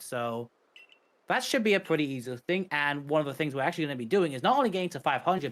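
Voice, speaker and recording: A man's voice: a little high, fairly bright tone. A man giving casual, explanatory co-commentary on a live speedrun, paraphrasing things informally.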